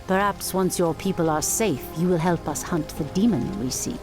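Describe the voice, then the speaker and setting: An actress playing a brave, compassionate night elf priestess and leader in a fantasy video game, formal and commanding. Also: female voice, fairly low pitch, normal speed, music in the background, lightly acted. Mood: calm, caring. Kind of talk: dramatic video game cutscene acting.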